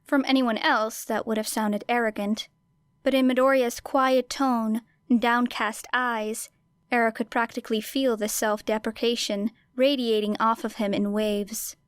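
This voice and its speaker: A woman's voice: fairly high pitch, steady tone, unhurried, clean tone, dry recording. A woman reading a story aloud as scripted audiobook narration. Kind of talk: scripted fan fiction narration.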